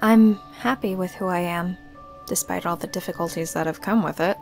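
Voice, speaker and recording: A woman voicing a young female necromancer in a visual novel, sincere and gently wry, with plain, thoughtful delivery. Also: on the low side, moderate pace, soft background music, voice pushed down, natural voice. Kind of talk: visual novel character dialogue.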